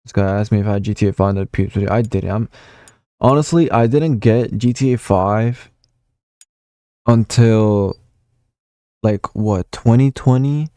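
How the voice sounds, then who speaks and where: A man's voice: on the low side, a little slow, pauses often, dark tone, smooth, no room sound. A young man of about nineteen, rambling casually with lots of fillers and the odd swear as he looks back through old console messages. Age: young adult. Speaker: gaming vlogger. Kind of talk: casual reminiscing commentary.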